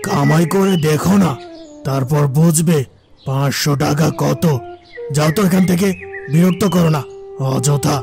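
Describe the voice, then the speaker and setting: A man voicing high, scornful, stingy village moneylender. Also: measured pace, gravelly, long pauses. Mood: dismissive. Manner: curt, scornful, domineering. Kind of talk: cartoon folk-tale acting. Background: music.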